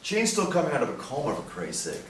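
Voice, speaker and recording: Man playing indignant lawyer, swooping pitch. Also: huge pitch swings, quick pace. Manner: forceful, scornful. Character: indignant, combative defence lawyer. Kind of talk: stage play reading. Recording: roomy distant stage recording, faint noise.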